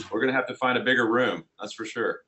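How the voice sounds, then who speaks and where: A man with a slightly gravelly, allergy-affected voice, speaking conversationally as a company president pitching on a webinar. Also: a little fast, bright, forceful tone. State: congested from allergies.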